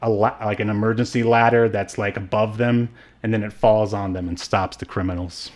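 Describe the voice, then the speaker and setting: A man's voice: fairly low pitch, fairly even intonation, brisk. A man co-hosting a podcast, talking casually and wryly as he reviews a movie. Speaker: podcast co-host.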